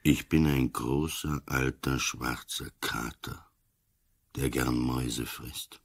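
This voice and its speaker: Old cunning police commissioner in a radio play, super low husky voice, slow n deliberate. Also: male voice, fairly even intonation, heavily acted. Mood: guarded, sly. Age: older. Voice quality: husky, very deep. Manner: slow, deliberate, weighing each word. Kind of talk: radio drama acting.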